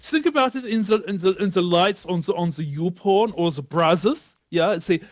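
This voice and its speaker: A man with a foreign accent bantering in broken, halting English, repeating words and bossing the other person around. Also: high-pitched, expressive, no room sound. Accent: foreign, non-native English. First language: not English. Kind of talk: bantering improvised comic chat.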